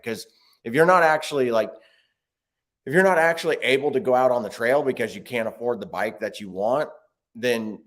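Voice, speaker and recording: A man's voice: mid-range voice, fairly bright tone. A man talking casually as a guest on a video call interview, explaining at length with many restarts. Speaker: guest, bike video creator.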